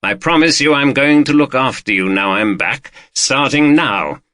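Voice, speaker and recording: A man playing a weary partner who speaks calmly and reassuringly, with a firm edge. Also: medium pitch, normal speed, voice pushed up. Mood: soothing. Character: weary, soothing man with a past temper.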